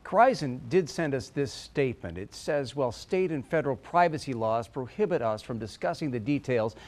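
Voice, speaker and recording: A man's voice: medium pitch, animated, moderate pace, darkish tone. An American man in his fifties reading scripted news as a studio anchor. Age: 50s. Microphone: lapel mic.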